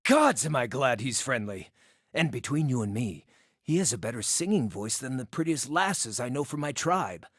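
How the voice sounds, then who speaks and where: A man's voice: medium pitch, big pitch swings, normal speed, fairly bright tone, no room sound, clean studio sound. A man voicing a witty, cheeky male wandering druid hunter in a fantasy video game, with a wry, theatrical way of talking. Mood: wry, teasing. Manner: wry, theatrical, colloquial yet florid. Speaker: video game companion voice actor. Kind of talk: expressive scripted fantasy game dialogue.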